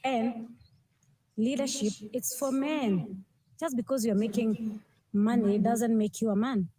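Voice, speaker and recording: A woman's voice: fairly high pitch, fairly even intonation, takes it slowly, warm tone, husky. A woman with an African accent speaking earnestly, admonishing and pleading straight to her listeners. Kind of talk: direct address opinion monologue to camera.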